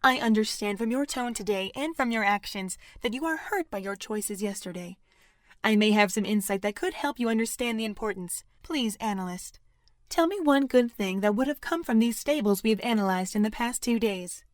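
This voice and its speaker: Woman as detached overseer lady, audio drama, formal n measured, highish voice, dry close sound. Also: animated, no room sound, lightly acted. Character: formal, detached female facility overseer, coldly logical.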